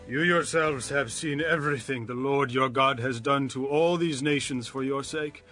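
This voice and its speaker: An actor playing an aged leader of Israel, grave and exhorting, in a dramatized scripture reading. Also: male voice, medium pitch, normal speed, pushed and bright, soft background music, lightly acted. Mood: solemn, exhorting. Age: older. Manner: grave, declamatory, emphatic.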